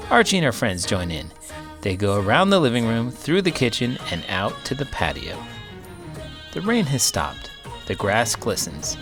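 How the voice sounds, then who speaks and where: An American man reading a children's storybook aloud in an animated, theatrical way and adding his own commentary. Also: fairly low pitch. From Iowa, United States. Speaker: children's book read-aloud storyteller and teacher. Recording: music playing behind the voice.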